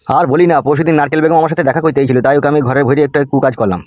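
A man's voice: fairly high pitch, fairly even intonation, racing, highs cut off, slight background noise, close to an everyday voice. A man voicing a coarse, grumbling, aggrieved big brother in a comic cartoon. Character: crude, aggrieved big brother, sweary philandering husband.

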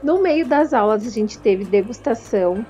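A woman's voice: on the high side, animated, normal speed, dark tone, highs cut off, soft background music. A woman with a Brazilian Portuguese accent, narrating a vlog about a trade fair and talking straight to her viewers in a chatty way. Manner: chatty, addresses viewers directly. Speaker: vlogger and confectioner.

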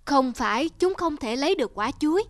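A woman voicing a patient, gently stern middle-aged male teacher in a cartoon, measured and instructive. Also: sits high, lively intonation, dry recording, studio quality. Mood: patient, explaining. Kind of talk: cartoon dubbing.

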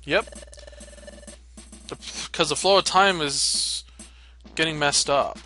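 A man's voice: on the high side, slow pace, pushed and bright. A man giving casual, irreverent let's play commentary, reading game dialogue aloud with playful asides. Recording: game music behind the voice. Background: video game music.

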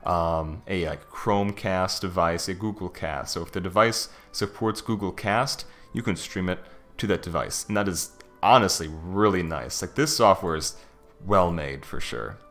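Low-voiced man giving a software walkthrough. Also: normal speed. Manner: informal, conversational, thinking aloud. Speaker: software reviewer. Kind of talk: casual screen-recorded software walkthrough. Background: background music.

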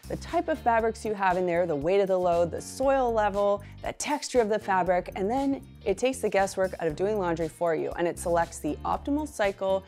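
A woman's voice: medium pitch, brisk, few pauses, a little mellow, faint music behind. A woman in her thirties hosting a cleaning channel, giving an upbeat, enthusiastic walkthrough of products while touring a large indoor appliance showroom on a lapel mic. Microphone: small lapel mic.

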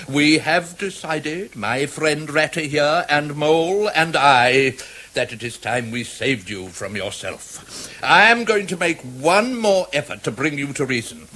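A man's voice: medium pitch, sharp tone, a little hiss or hum. A man playing a stern older badger in a 1970s radio drama, pompous and formal, with an English accent.

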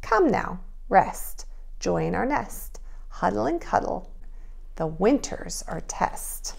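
Very animated woman teaching little kids. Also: medium pitch, slow pace, gravelly. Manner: expressive, addresses young children directly. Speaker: preschool teacher. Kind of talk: children's read-aloud and drawing lesson.

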